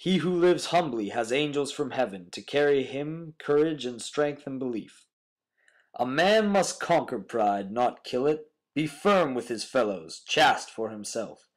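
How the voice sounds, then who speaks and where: A man's voice: medium pitch, expressive, unhurried. A young man with a mid-range voice recites verse. He is a student reader delivering a scripted poem. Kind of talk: poetry recitation.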